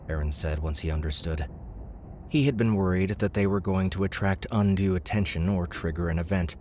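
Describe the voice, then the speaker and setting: A man's voice: deep voice, darkish tone, a little hiss or hum. A man narrating scripted fantasy fiction as an audiobook reader.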